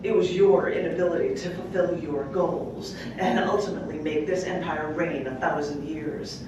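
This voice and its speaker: A woman's voice: deep voice, steady tone, normal speed. Echoey, distant woman reading poetry aloud. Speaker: poet reading at an open mic. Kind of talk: live spoken-word poetry reading with casual asides. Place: public library room at a live event. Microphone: distant microphone. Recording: echoey room from far off, clearly noisy.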